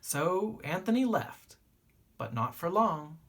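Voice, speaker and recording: Storybook narrator for young listeners: lively, swooping pitch, lightly husky tone, teacherly read-aloud delivery. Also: slow pace. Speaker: teacher reading a picture book aloud.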